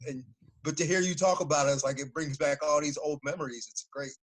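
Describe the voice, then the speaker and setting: A man in his forties, a former fighter, telling stories in a relaxed, casual interview and laughing often. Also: on the high side, quick pace, poor audio. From United States.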